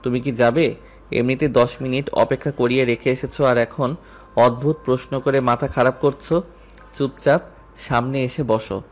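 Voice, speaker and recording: A man's voice: mid-range voice, steady tone, moderate pace, natural voice. A man with a Bangladeshi accent playing a stern, sarcastic, irritable young man in a romantic audio story. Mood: angry, scolding. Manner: curt, commanding. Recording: music bed behind the voice.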